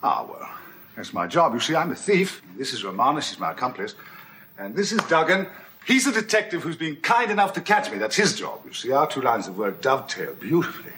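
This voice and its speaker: A man's voice: mid-range voice, very animated, a little fast, bright, forceful tone, slight background noise. An actor playing an eccentric, breezy male alien time traveller, bantering with mock innocence. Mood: airy mischief.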